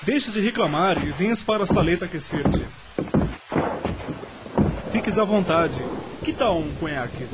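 A man's voice: high-pitched, lively intonation, moderate pace, long pauses, heavy background noise. A man acting in a radio drama as an eager, curious nineteenth-century French nobleman, with formal old-fashioned diction. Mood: welcoming.

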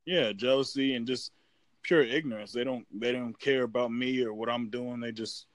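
A man's voice: mid-range voice, fairly even intonation, normal speed, no room sound. A man in casual chat as a podcast guest, rambling with many fillers and restarts. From United States.

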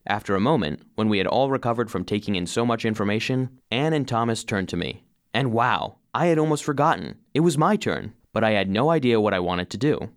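Low-voiced, lively robot storyteller. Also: male voice, a little fast. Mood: uncertain, surprised. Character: earnest, eager male cleaning robot narrating his own story. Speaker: narrator. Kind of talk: scripted children's audio drama narration.

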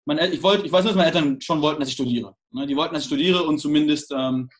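A man's voice: a little high, racing. A young man from England, the guest in a casual interview, talking hesitantly with many restarts and fillers.

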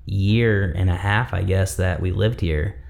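Male, 30s, lower register, lively podcast storyteller. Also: unhurried, gravelly. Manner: animated, laughing, acts out quoted dialogue. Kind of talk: casual storytelling chat.

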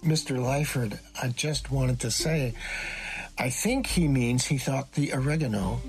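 A narrator voicing a soft, polite little schoolgirl. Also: medium pitch, a little slow, lightly acted, poor audio. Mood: polite, matter-of-fact. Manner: polite, matter-of-fact. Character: polite, soft-voiced little red-headed schoolgirl, persistent. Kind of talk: audiobook character dialogue.

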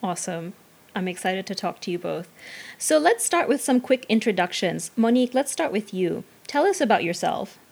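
A woman's voice: mid-range voice, animated, moderate pace, creaky, darkish tone, breathy edge. A woman hosting an interview podcast, asking prepared questions in a friendly tone. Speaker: podcast host.

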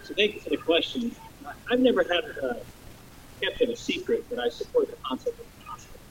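A man serving as mayor, answering a debate question in a halting way with many fillers. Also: very high-pitched, wildly swooping pitch, vocal fry, distant mic, noisy background.

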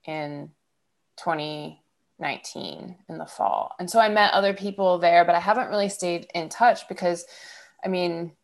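A woman's voice: on the low side, normal speed, gravelly. A woman from Ohio hosting a podcast, talking casually and rambling with fillers and laughs. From Ohio, USA. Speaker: podcast host. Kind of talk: casual conversation.

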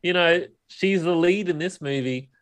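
Higher-voiced man chatting casually. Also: normal speed. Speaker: podcast co-host. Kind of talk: casual film discussion.

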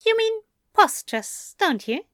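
A woman's voice: very high-pitched, sing-song, slow pace, a bit bright, no echo, clean studio sound, full character voice. A woman voicing a prim, contented man who teases his lover in a fan fiction audio drama. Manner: prim, playfully indignant.

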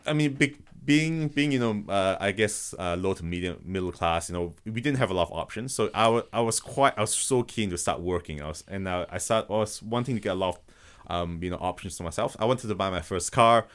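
A man from Malaysia with a slight Malaysian accent, a guest talking earnestly and haltingly in a casual podcast interview. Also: sits low, fairly bright tone, smooth. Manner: earnest, halting, thinks aloud. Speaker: guest, robotics business founder.

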